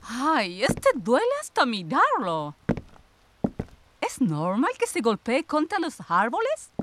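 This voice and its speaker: An actress playing a sharp-tongued, reproachful sister back from exile in a radio drama, ironic and probing. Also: female voice, fairly high pitch, wildly swooping pitch, takes it slowly, noisy background, full character voice. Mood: breezy.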